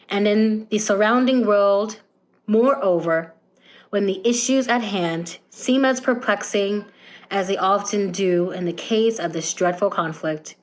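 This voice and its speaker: A woman's voice: medium pitch, low-quality recording. Young woman reciting a solemn speech. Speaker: student performer reading a speech excerpt. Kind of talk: formal dramatic reading of a historic speech.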